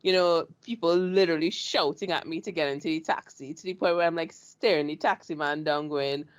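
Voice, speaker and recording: A woman from Trinidad with a Trinidadian accent hosts the interview. She chats easily and gets animated when she tells her own stories. Her voice sits a little low for a woman. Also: brisk, clean tone. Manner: chatty, animated storyteller. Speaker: show host. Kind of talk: casual interview chat.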